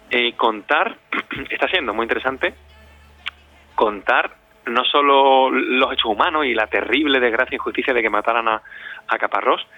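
A man's voice: medium pitch, muffled recording. A man answers as an interview guest, searching for words and repeating himself as he explains. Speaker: interview guest, audio fiction director.

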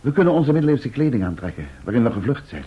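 A dull old radio play recording of a resolute, level-headed writer. He is very husky and talks fast, reasoning firmly in formal sentences at an ordinary male pitch. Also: sing-song. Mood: resolute, sober. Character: sober, cautious, resolute male writer, professor's companion. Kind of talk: Dutch radio play acting.

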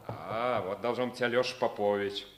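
A man's voice: on the low side, steady tone, normal speed, a bit bright, a little hiss or hum, close to an everyday voice. An actor playing a middle-aged Soviet lieutenant colonel, hearty, authoritative and fatherly, in 1950s stage radio drama style. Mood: commanding, fatherly. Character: fatherly commanding lieutenant colonel, jovial Soviet regiment commander.

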